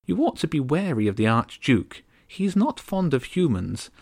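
A man's voice: sing-song, moderate pace, gravelly, no echo, studio quality. A man doing a tiny regal starling queen. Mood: indignant. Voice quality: high and prim. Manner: haughty, clipped and sharp.

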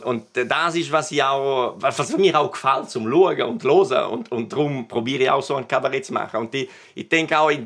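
A man from Italian-speaking Switzerland, a native Italian speaker with a Swiss Italian accent, answering interview questions haltingly with repeated words and tag questions. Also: fairly high pitch, fairly bright tone. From Bergell, Italian-speaking Switzerland. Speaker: cabaret artist and guest.

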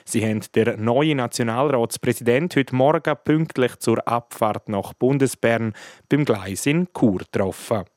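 A man's voice: mid-range voice, unhurried, darkish tone, no echo, clean studio sound. A man reading a formal, scripted news lead-in as anchor.

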